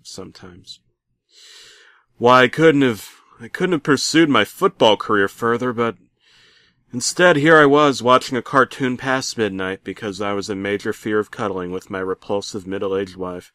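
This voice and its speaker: A man playing a bitter middle-aged working dad who narrates a comic horror story with theatrical, aggrieved deadpan. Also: fairly low pitch, moderate pace, dry recording, studio quality. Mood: weary, resentful. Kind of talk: comedic creepypasta narration.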